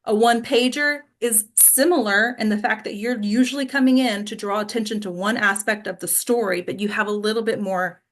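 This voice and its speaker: A woman speaks as an acting coach in an informal class setting. Her delivery is direct and instructive, and she keeps checking that listeners follow with short tag questions. The pitch sits in a middle range for a woman. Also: fairly even intonation, fairly quick, hardly any pauses. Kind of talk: casual teaching Q&A.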